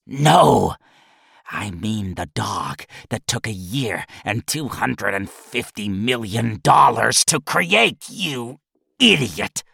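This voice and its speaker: An imperious old scientist, as a man puts him on, with a gravelly, husky rasp and a bright, pressed edge. He speaks slowly and snaps his commands, his pitch swooping up and down. The sound is dry and close, like a storybook reading. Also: very animated, dry recording, heavily acted. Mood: angry. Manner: commanding, snapping. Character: stooped old male scientist, imperious and short-tempered.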